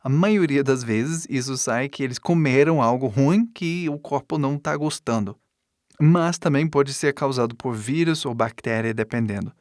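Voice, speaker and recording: A man with an English speaker's foreign accent, a native English speaker, teaching in a deliberate way with pauses between short phrases and example sentences. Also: medium pitch, darkish tone, no room sound, studio quality. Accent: English-speaking foreigner's accent in Portuguese. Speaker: English teacher giving an online lesson. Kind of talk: instructional lesson with example sentences.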